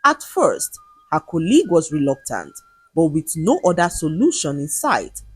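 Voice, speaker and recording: Woman storyteller, lively rise and fall, a bit husky, soft music under. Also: sits low, dry recording. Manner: measured, expressive storytelling. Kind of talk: scripted folktale narration.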